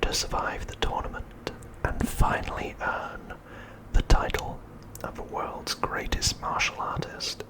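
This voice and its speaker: A man hosting an ASMR video, speaking in a hushed, deliberate whisper. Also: low-quality recording. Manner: hushed, slow, deliberate delivery.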